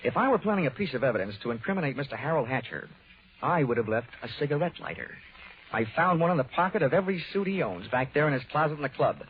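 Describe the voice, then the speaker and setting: An actor playing a wisecracking, tough American insurance investigator in a 1940s radio detective drama, speaking brisk and wry. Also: male voice, fairly high pitch, very animated, slight background noise. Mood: tough, sardonic. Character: wisecracking hard-boiled insurance investigator man. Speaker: lead actor and narrator. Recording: tinny 1940s radio recording, music.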